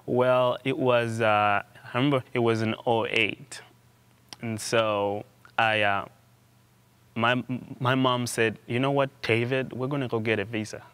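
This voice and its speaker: A young man from Uganda, a student guest in an interview, telling his story in a halting way with frequent restarts and repeated words. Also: mid-range voice, steady tone, a bit bright, smooth, no echo. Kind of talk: conversational interview.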